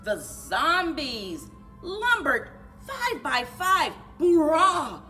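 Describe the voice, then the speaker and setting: A woman reading a children's storybook aloud in an animated, rhythmic singsong for a library story time. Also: high-pitched, very slow, soft background music. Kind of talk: children's storybook read-aloud with chanted refrains.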